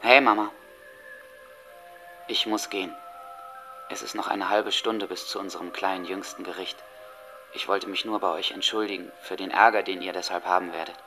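A man's voice: deep voice, steady tone, moderate pace, long pauses, bright, forceful tone, soft background music. A man playing a defiant, fatalistic teenage school shooter in a German audio drama monologue. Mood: defiant farewell.

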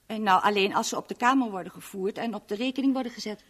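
An actress playing a helpful hotel receptionist, polite, hesitant and eager to chat, in a 1970s Dutch radio play. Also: female voice, medium pitch, fast talker, fairly bright tone, lightly acted.

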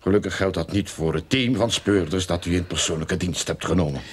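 An actor playing a scheming, self-important private detective in a radio drama, with a pompous, theatrical manner. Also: male voice, low-pitched, brisk, a little hiss or hum, close to an everyday voice. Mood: unctuous.

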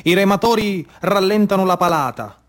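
A man's voice: high voice, lively intonation, normal speed. A man with a Sicilian accent performing a dramatic narrative monologue as a stage storyteller.

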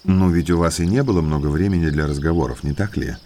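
A man's voice: deep voice, fast, warm tone. An actor playing an eager, educated naturalist in an audio drama, outwardly affable, genteel and chatty as he explains things. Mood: politely probing.

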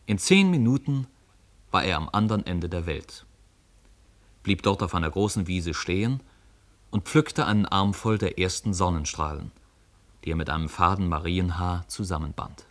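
A man's voice: deep voice, expressive, moderate pace. A man narrating a story for a radio play in scripted storytelling style.

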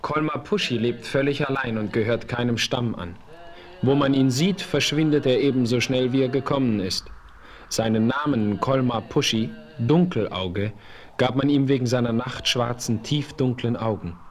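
An actor playing a noble Apache chief in a radio play, speaking calmly and formally. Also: male voice, medium pitch, normal speed, a crowd in the background, natural voice. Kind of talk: 1970s radio play acting.

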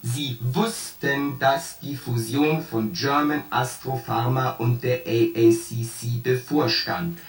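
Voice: mid-range voice, close to an everyday voice. An actor voicing a shipboard artificial intelligence in a science fiction radio play, coldly logical and pedantic as it itemizes its points. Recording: roomy echo, distant voice, music behind.

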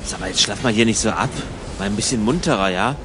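A man's voice: fairly low pitch, quick pace, background noise, close to an everyday voice. A man playing an eager, practical young German emigrant in a radio play, chatty and mildly exasperated as he talks and narrates. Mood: urging, exasperated.

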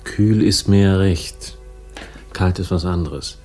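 A man playing a world-weary, sardonic investigator who speaks drily and deliberately in a 1960s radio crime drama. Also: low-pitched, dark tone, soft background music. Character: world-weary, sardonic male investigator narrating his own story.

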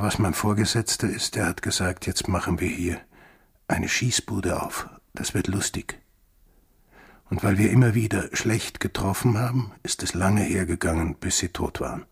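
An actor playing a guilt-ridden, bitter Austrian man in a radio drama, with a slow, hushed, brooding delivery and a husky voice. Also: low-pitched, heavily acted. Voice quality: husky, gravelly, breathy.

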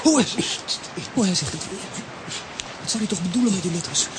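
Actor doing a brave young boy in a kids radio play, eager, medium pitch, noisy background. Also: lively intonation, fairly quick, lightly acted. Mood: alert. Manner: earnest, eager, exclamatory. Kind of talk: children's audio drama acting. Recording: noisy audio with ambient sound.

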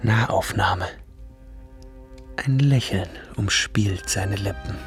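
A man narrating silent-film scene directions in a slow, measured way. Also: on the low side. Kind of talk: scripted narration. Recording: cello music behind.

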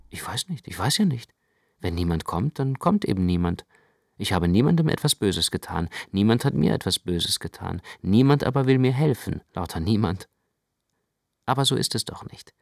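A man narrating literary prose for a radio production in a dramatic, expressive way. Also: on the low side, creaky.

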